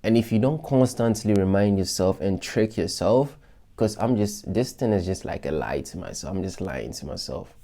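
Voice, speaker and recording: A man's voice: low voice, animated, moderate pace, warm tone. A man vlogging to his followers in a casual, reflective way, talking through his goals with loose restarts and many fillers. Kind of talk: casual motivational talk to followers.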